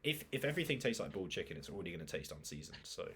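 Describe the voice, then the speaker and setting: A man's voice: low-pitched, sing-song, very fast, a bit bright, slight background noise. A man, co-hosting a podcast and joking through casual banter. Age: 20s. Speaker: podcast co-host. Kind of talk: casual chat.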